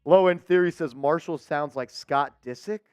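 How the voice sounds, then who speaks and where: Male stream host, high ringing voice, calls plays with excitement over faint music. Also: normal speed, clean tone. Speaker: watch party host. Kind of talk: live-stream watch party chat and play-by-play.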